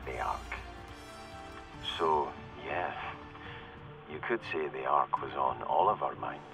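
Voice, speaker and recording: A man playing a weary, cynical security corporal in a video game, recording a halting, rueful audio diary as a survivor of a flooded world. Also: very deep voice, gravelly, over music, exaggerated voice. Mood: wry, bitter. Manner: halting, rueful, confiding, with pauses and self-corrections. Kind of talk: in-character audio diary monologue, dramatic game acting.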